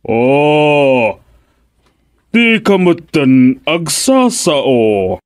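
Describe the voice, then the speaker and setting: A jovial, teasing old Filipino pal played by a man in a radio comedy. He drags his words out extremely slowly, with playful swoops in a fairly high male voice. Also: sing-song, smooth, exaggerated voice. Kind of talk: comedic radio drama acting.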